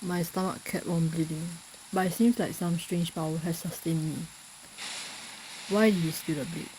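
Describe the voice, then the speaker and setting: Mellow low-pitched woman, casual gamer. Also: fairly even intonation, normal speed, a little hiss or hum, rough recording. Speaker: gamer playing on a recorded session. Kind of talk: casual reading aloud and play commentary.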